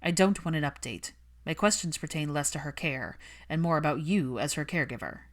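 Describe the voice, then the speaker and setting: A woman's voice: deep voice, brisk. A woman voicing a cold, irritated, posh young man in an audiobook, with a dry, clipped drawl. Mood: cold, challenging. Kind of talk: audiobook character dialogue.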